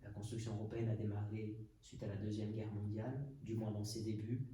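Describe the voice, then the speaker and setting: A man's voice: on the low side, level tone, fairly quick, mellow. A man from Portugal hosting a podcast conversation, thinking aloud and hesitating often. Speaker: podcast host. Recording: echoey room, mic at a distance, faint noise.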